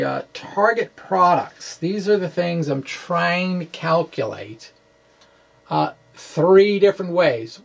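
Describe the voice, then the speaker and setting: A middle-aged man giving an informal, halting explanation to camera in an offhand, quirky manner. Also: mid-range voice, vocal fry. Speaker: physics blogger presenting puzzle answers. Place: small indoor room with bookshelves. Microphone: microphone on a stand in front of him.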